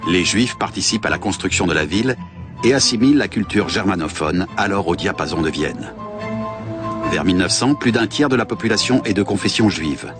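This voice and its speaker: Deep male voice-over, measured documentary read, music underneath. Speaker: documentary narrator. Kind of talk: scripted documentary narration. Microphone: studio voice-over mic. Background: background music.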